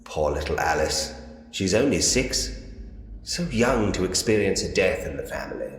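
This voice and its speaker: A man's voice: deep voice, expressive, moderate pace. A man voicing a polite, drily whimsical Victorian police inspector who muses to himself in an adventure game. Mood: sombre. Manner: musing to himself, genteel, drily humorous. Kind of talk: point-and-click adventure game voice acting. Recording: reverberant room with long echo. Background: game music.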